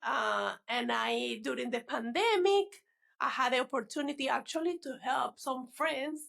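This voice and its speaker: A woman's voice: high-pitched, expressive, unhurried, creaky, fairly bright tone. A woman from Venezuela with a Venezuelan Spanish accent, a native Spanish speaker, chatting as a guest about her fitness coaching. She talks in a chatty way, laughs often and restarts words. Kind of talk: casual interview chat.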